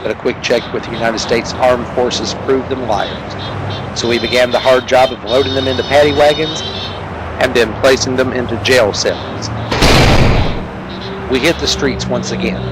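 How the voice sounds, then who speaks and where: A man playing a deadpan, terse police detective narrating a parody of old crime radio drama. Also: mid-range voice, moderate pace, very noisy, natural voice. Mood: deadpan, dry. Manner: deadpan, terse, matter-of-fact.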